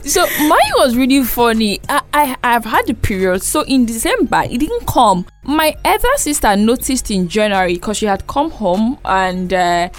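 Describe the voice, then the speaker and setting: A woman's voice: a little high, big pitch swings, moderate pace, hardly any pauses. A woman with a Nigerian accent hosting an upbeat, casual podcast chat in a playful, teasing, exclamatory way. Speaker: podcast host.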